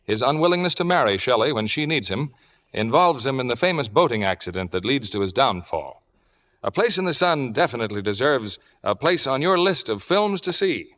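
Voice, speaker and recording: A man's voice: mid-range voice, very animated, fairly quick, fairly bright tone. A man announcing on a 1950s radio show, reading scripted copy in a polished, formal, promotional manner.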